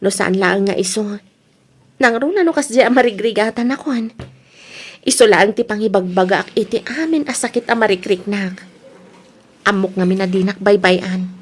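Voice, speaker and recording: A woman with a Filipino accent playing a cheerful, hardworking young student in an expressive, girlish way in an Ilocano radio drama. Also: mid-range voice, normal speed, slight background noise, natural voice. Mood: weary, earnest. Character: cheerful, hardworking young female working student, weary but hopeful.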